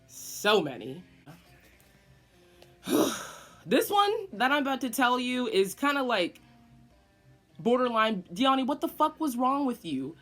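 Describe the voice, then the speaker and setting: A woman's voice: a little high, takes it slowly, pauses often, soft background music. An eighteen-year-old woman telling a dramatic storytime to her vlog viewers, swearing freely. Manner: animated, dramatic, swearing often. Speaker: vlogger. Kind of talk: casual storytime.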